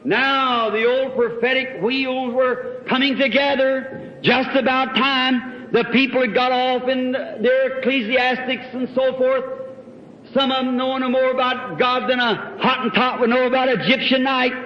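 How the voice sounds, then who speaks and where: A man's voice: very high-pitched, level tone, measured pace. A man preaches a revival sermon as a Pentecostal preacher, in a folksy, exhorting, exclamatory manner. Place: large hall, speaking from a platform. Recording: dull, noisy old hall recording, long reverb.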